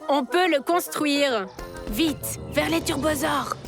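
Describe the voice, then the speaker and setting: Gentle, doting cartoon grandmother. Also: high voice, slow pace, music in the background. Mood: tender. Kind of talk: animated children's series dub.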